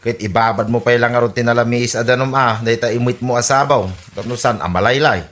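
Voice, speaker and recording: A woman's voice: extremely low pitch, steady tone, quick pace. A woman playing a sceptical, needling Ilocano husband in a comedy radio drama.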